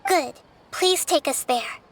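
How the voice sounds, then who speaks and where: A woman voicing a small, calm and thoughtful childlike goddess in a video game, speaking in a measured, articulate way. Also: high-pitched, noisy background. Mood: serious.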